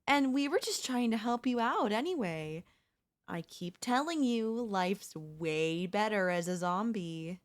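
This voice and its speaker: A woman's voice: mid-range voice, lively intonation, natural voice. A woman voicing a chatty, teasing zombie in a comedic audio roleplay, talking casually and playfully straight to the listener. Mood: teasing, persuasive. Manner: casual, playful, taunting, slangy, drawn-out delivery. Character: chatty, teasing, cocky female zombie hunting a human survivor.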